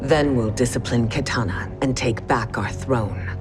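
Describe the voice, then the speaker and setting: A woman's voice: extremely low pitch, unhurried, over music. An actress playing a proud, ruthless warrior empress and scheming mother in a video game, speaking in a regal, formal manner. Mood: cold, ruthless. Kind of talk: video game cutscene acting.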